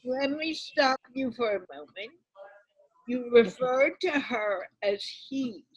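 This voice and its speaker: A woman's voice: on the high side, animated, takes it slowly, slightly rough, no room sound, low-quality recording. A woman hosting a conversation, politely cutting in with questions. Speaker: host. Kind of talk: casual interview questions.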